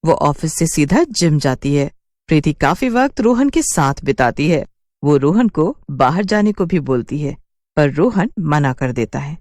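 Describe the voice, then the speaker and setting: Expressive, lowish-voiced woman narrating a story. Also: a little slow, no echo, clean studio sound. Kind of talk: scripted dramatic narration of a horror cartoon.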